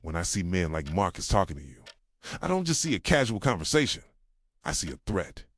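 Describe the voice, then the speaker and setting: A very low, close and dry male voice of an actor as an obsessively devoted boyfriend. He talks softly in a brooding, confessional ASMR style, sometimes dropping to a whisper, with lively swings in pitch as tenderness mixes with possessiveness. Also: fairly quick, no room sound. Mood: possessive, brooding. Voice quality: soft, low, slipping into whispers. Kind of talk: intimate boyfriend roleplay ASMR.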